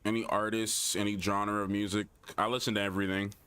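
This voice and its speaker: A man's voice: lowish voice, level tone, fairly quick, bright, forceful tone, clear, resonant tone, dry recording. A young man with a Guyanese American accent, chatting casually and thinking aloud while he plays a game. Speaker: gaming streamer. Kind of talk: casual gameplay commentary.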